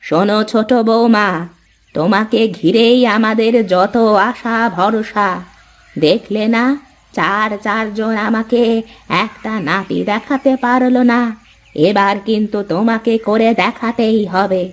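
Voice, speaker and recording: A woman's voice: medium pitch, unhurried, close to an everyday voice. A woman voicing a stern, demanding older mother-in-law in a fairy-tale cartoon, nagging and admonishing.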